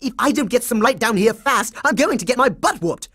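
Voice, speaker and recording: A man's voice: extremely high pitch, animated, a little fast, pushed and bright, husky, heavily acted. A man voicing a bossy, heroic boy secret agent in a cartoon video game, dramatic and emphatic with a smug confidence. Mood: determined, musing.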